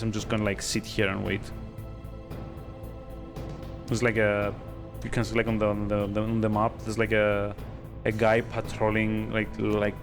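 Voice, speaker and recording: A man giving casual, halting live commentary on a video game, sounding sleepy and bored. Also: fairly low pitch. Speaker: streamer playing a video game. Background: game music.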